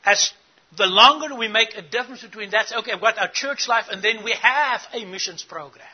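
A man's voice: high-pitched, fairly quick, breathy edge, highs cut off. A middle-aged man from Africa, giving an earnest teaching talk to church leaders and putting questions to the audience. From South Africa. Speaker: conference speaker.

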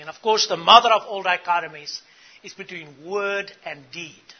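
A man's voice: high-pitched, breathy edge, muffled recording. A middle-aged man from Africa, giving an earnest teaching talk to church leaders and putting questions to the audience. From South Africa. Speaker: conference speaker.

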